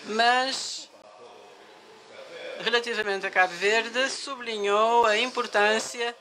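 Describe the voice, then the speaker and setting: A man interpreting a speech, slow and halting. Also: extremely high pitch, fairly even intonation, pushed and bright, poor audio. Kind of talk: simultaneous interpretation.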